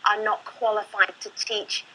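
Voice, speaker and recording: A woman's voice: medium pitch, little pitch movement, moderate pace, pushed and bright, slight background noise, rough recording. A woman hosting a discussion panel, interjecting with probing questions. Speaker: host.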